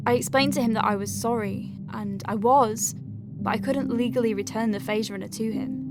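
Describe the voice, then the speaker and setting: A woman playing a conscientious, wry and compassionate doctor dictating patient notes in an audio drama, measured and articulate with conversational asides. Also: on the high side, close to an everyday voice. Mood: regretful, firm. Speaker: doctor at a clinic.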